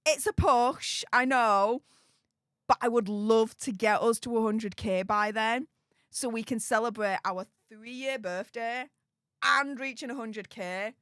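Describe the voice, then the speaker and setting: A woman's voice: on the high side, a little slow, a bit bright, clean tone, no echo, studio quality. A woman with a Yorkshire accent, hosting a casual livestream and chatting as she reads out viewer comments. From Yorkshire, England. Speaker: YouTube host reading live chat.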